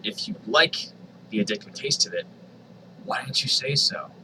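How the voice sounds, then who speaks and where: A man's voice: mid-range voice, moderate pace, pushed and bright, distant mic, a little hiss or hum, rough recording. A man voicing a menacing, sadistic male killer from a horror story, with a taunting, controlled delivery.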